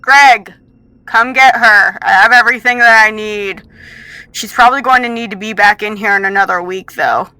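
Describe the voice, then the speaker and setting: A woman's voice: mid-range voice, bright, forceful tone, dry recording, poor audio. Woman playing a cruel, taunting female scientist villain. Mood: irritated, commanding. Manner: condescending, drawn-out taunts.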